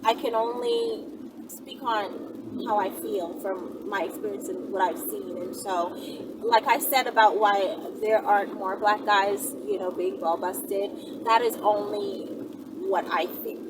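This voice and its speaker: A woman hosting an online video, talking casually and off the cuff in an earnest, rambling, conversational way. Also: a little high. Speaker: online video host answering viewer questions. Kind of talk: casual unscripted opinion talk to camera. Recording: distant mic, clearly noisy background.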